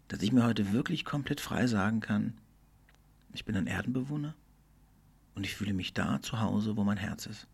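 Gravelly, husky middle-aged man musing. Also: lowish voice. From Germany. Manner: thoughtful, earnest, often slowing and dropping to near whisper. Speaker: podcast host. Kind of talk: reflective solo podcast monologue.

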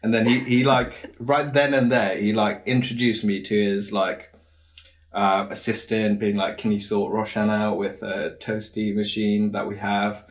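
A man's voice: on the low side, little pitch movement, unhurried. A young man from Wales, chatting casually and rambling through stories with lots of fillers. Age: young adult. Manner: rambling storyteller, many fillers and restarts. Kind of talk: casual chat.

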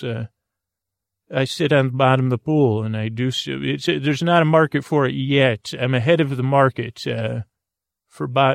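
A man hosting a bedtime podcast, telling a meandering, halting story full of restarts and fillers to help listeners fall asleep. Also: mid-range voice, creaky, no room sound, studio quality. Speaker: podcast host. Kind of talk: rambling bedtime story to put listeners to sleep.

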